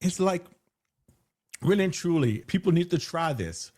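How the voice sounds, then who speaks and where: A man's voice: a little high, expressive, moderate pace, darkish tone. A man being interviewed as a guest, who says he has a big voice, speaking emphatically and repeating phrases for stress. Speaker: guest, hypnotherapist. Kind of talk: conversational interview.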